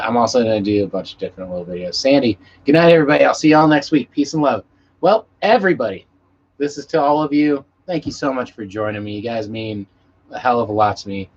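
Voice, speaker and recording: A man hosting a casual live stream, chatting with viewers in a laid-back, rambling way and swearing freely. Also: on the low side. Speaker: musician. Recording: muffled lo-fi stream audio with background noise.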